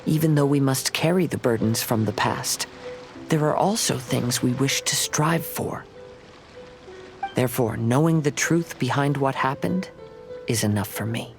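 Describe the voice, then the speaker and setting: Calm young samurai voiced by a man, music behind. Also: medium pitch, natural voice. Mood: earnest, reflective. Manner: calm, measured, contemplative. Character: gentle, reflective young wandering samurai man. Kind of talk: scripted video game dialogue. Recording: loud music under the voice.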